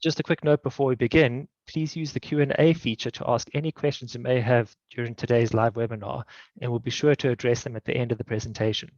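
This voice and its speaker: A man's voice: medium pitch, steady tone, fast talker, a little mellow, dry recording. Man hosting a webinar over a dull line. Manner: announcer-like, reading housekeeping notes. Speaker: webinar host. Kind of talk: scripted webinar introduction.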